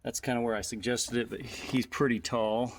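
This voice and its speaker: A man's voice: mid-range voice, fairly even intonation, moderate pace. A man narrating a casual how-to as an installer, explaining informally step by step while he works. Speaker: installer giving a tutorial. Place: in and around a utility vehicle in a garage or shop. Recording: noisy workshop ambience behind voice.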